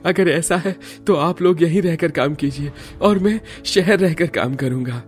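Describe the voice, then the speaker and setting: A man's voice: a little high, a little slow, faint music behind, close to an everyday voice. A man playing a humble, polite working man in a cartoon family story, speaking earnestly. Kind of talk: Hindi cartoon story acting.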